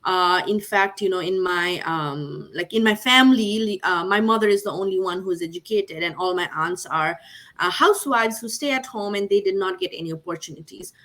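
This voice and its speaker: A woman's voice: lowish voice, moderate pace, a bit bright. A young woman from Nepal on a video call, answering panel questions earnestly with many fillers like "like" and "you know". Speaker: MBA graduate panelist.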